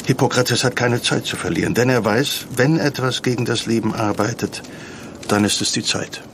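An actor narrating a historical audio drama about an urgent ancient physician and voicing him, with a gravelly voice and storm effects behind. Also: male voice, mid-range voice, moderate pace, background noise, close to an everyday voice. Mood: atmospheric, grave. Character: Hippocrates, urgent, commanding ancient Greek physician, and his narrator. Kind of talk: scripted dramatic storytelling narration.

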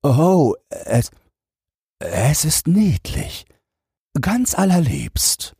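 Storybook old minister, acted by a male narrator: anxious, hesitant, drawn-out words, husky and raised in pitch. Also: huge pitch swings, dark tone, no room sound, clean studio sound, full character voice. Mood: flustered flattery. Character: anxious, flustered honest old minister, eager to please.

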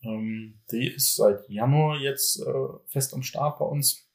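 A man's voice: medium pitch, takes it slowly, vocal fry. A man talks shop about running a football team in a casual podcast. His voice sits in the middle of the male range. He rambles, restarting sentences and leaning on fillers as he goes. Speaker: general manager of a football team.

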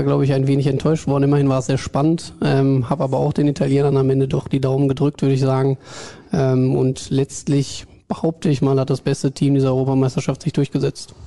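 A man, a sports journalist, calmly discussing football on a podcast with a measured delivery and frequent hesitations. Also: medium pitch, gravelly. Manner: measured, many hesitation fillers. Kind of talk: casual football podcast chat.

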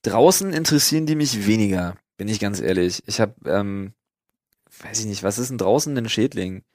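A man's voice: fairly low pitch, lively intonation, moderate pace, darkish tone, no echo, clean studio sound. A man in a casual podcast chat with friends, swearing and using slang with mock exasperation. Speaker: podcast co-host. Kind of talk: casual banter among friends.

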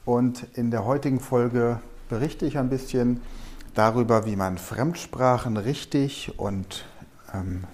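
A man's voice: medium pitch, a little slow, a little mellow. A middle-aged German man hosting his podcast and telling anecdotes enthusiastically in an interview. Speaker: podcast host and learning coach. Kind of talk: podcast intro and radio interview.

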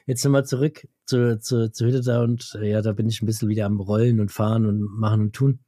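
A man's voice: fairly low pitch, flat delivery, fairly quick, mellow, dry recording, clean studio sound. A man co-hosting a casual podcast chat, telling self-mocking stories with a slight cold. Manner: joking, self-mocking storyteller. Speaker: podcast co-host.

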